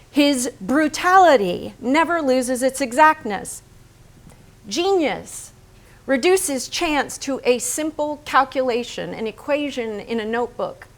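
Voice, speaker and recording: A painter's cerebral wife in a staged play reading. Her voice sits fairly high, and she delivers her words with precise, measured emphasis. She is unflinching and exact. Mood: fervent, exact. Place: staged reading in a barn venue.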